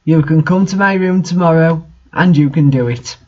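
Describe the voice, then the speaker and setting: A man's voice: on the high side, moderate pace, a little mellow, highs cut off, natural voice. A man voicing a grave female fortune-telling professor who instructs solemnly in a story reading.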